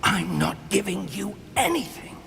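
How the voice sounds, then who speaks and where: An actor playing a learned older wizard mentor in a fantasy video game, measured, precise and grave in his delivery. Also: high voice, big pitch swings, vocal fry, exaggerated voice. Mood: wary defiance.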